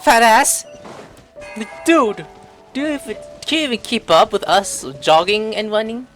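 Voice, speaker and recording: Gameplay streamer, lively swinging pitch, casual, joking, crude interjections, faint music behind. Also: normal speed. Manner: joking, loud interjections, profane. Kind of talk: casual, crude game reaction commentary.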